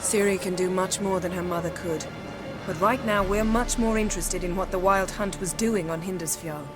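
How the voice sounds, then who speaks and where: A woman's voice: mid-range voice, moderate pace, natural voice. A woman playing an imperious sorceress in a video game, clipped, commanding and self-assured. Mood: impatient. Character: brisk, haughty, imperious sorceress. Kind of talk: video game cutscene acting. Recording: music under the voice.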